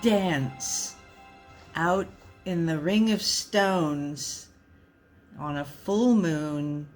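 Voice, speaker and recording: A woman reading a comic story aloud in a dramatic, mock-serious, deliberate storyteller's manner. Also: deep voice, faint music behind.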